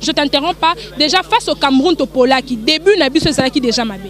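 A young Congolese woman reporting on the street, chatty and lively, holding a handheld mic outdoors in a busy neighbourhood. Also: high-pitched, a little fast. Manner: lively, chatty, mixes French and Lingala. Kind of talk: street interview.

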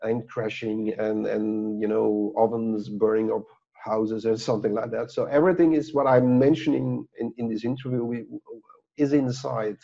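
A man's voice: lowish voice, fairly even intonation, normal speed, vocal fry, warm tone. A middle-aged man whose first language is Dutch, a book author talking casually on a video call, rambling with many fillers and restarts. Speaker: author and guest. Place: office with branded backdrop. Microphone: lapel mic on video call. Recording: dull lo-fi video call audio.

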